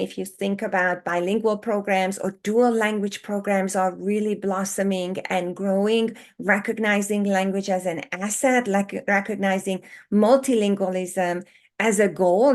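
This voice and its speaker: Hungarian-born woman, lively and expressive. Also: medium pitch. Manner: animated, expressive, pauses to rephrase. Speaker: co-author and education guest. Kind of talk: conversational podcast interview.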